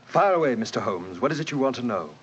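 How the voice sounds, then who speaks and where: An actor playing an arrogant, hot-tempered rich magnate, blunt and proud, in 1940s radio drama style. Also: male voice, medium pitch, very animated, quick pace, slightly rough. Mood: grudging, frank. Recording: dull, noisy 1940s radio recording.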